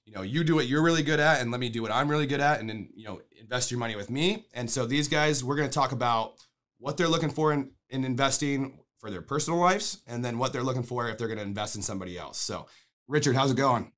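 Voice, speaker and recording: A man's voice: medium pitch, fast talker, fairly bright tone. A man hosting a casual podcast, stuffed up with a cold, talking in an upbeat, rambling way with frequent restarts. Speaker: podcast host.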